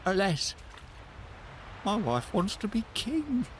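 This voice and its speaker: Casting: male actor as henpecked fisherman, high voice, slow and plaintive, storybook drama over faint music. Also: huge pitch swings, noisy background, exaggerated voice. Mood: sheepish. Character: meek, reluctant henpecked fisherman husband. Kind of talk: full cast audio fairy tale drama.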